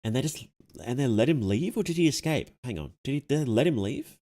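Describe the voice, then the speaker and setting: A man's voice: mid-range voice, animated, fairly quick, warm tone. A man giving casual live reaction commentary as a streamer, questioning things and thinking aloud.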